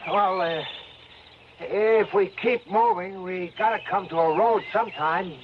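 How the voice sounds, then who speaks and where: A man's voice: high-pitched, unhurried. An actor playing a protective man leading lost companions, firm and reassuring, in an old time radio drama. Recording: muffled old radio broadcast, heavy noise.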